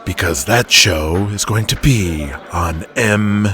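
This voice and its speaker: A man's voice: lowish voice, slow pace, a crowd in the background. A man voicing a sly, sinister male wrestling promoter who schemes as he talks. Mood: smug, scheming.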